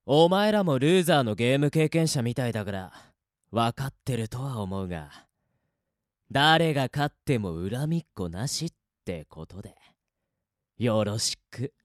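A man acting in an audio drama as a cocky veteran death-game player who teases his rivals in rough, casual speech. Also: medium pitch, animated, moderate pace, no echo, clean studio sound, natural voice. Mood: smug, relaxed.

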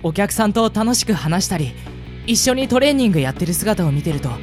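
Woman acting as a flustered, polite young man, animated. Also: medium pitch, a little fast, faint music behind, close to an everyday voice. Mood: earnest. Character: flustered, earnest young man, part-time gym worker. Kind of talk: Japanese radio drama acting with narration.

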